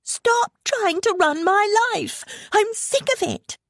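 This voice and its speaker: A woman's voice: very high-pitched, lively intonation, takes it slowly, fairly bright tone, no echo, clean studio sound, pitched above the performer's own voice, full character voice. A woman voicing a snappish, defiant teenage daughter in an audiobook, protesting indignantly and sounding fed up. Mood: furious.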